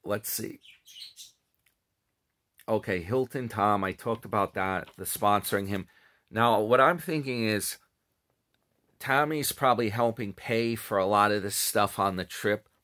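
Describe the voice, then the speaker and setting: A man's voice: fairly low pitch, a little slow, long pauses, fairly bright tone. A middle-aged man casually chatting to camera from a small room at home, rambling and correcting himself as he goes. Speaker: commentary vlogger. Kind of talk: casual commentary on another channel's videos. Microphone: webcam or phone close up.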